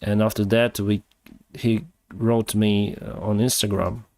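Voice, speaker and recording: A man with a Ukrainian accent hosts a relaxed live stream. His voice sits a little low and sounds close and dry, with no room sound around it. He talks in a halting way, with lots of fillers and short pauses. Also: no echo. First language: Russian. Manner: halting, many fillers, laughs often. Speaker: livestream host reading chat. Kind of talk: casual live chat.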